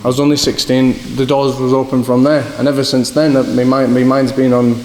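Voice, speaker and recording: A man's voice: medium pitch, level tone, a little fast, a little mellow. A young man from Scotland with a northeast English accent, a boxer telling rambling stories in an interview, often repeating "do you know what I mean". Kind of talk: casual interview answers. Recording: echoey hall with faint background noise.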